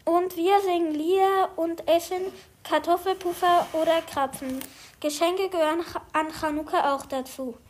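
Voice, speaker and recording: A woman voicing an eager, very high-voiced boy. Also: fairly even intonation, normal speed, a little hiss or hum. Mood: explanatory. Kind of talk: scripted children's audio play dialogue.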